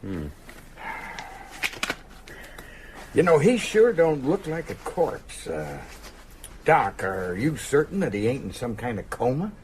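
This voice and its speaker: An actor playing a folksy, wary small-town constable with an American accent and hesitant, colloquial talk in a radio drama. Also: male voice, mid-range voice, very animated, measured pace, a little mellow, slight background noise. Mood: puzzled, probing.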